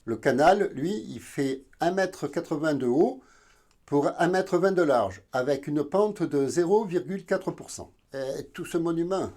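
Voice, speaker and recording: A man from southern France hosting an educational video, explaining informally in a halting, didactic way. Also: on the high side, animated, a little mellow. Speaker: video channel host.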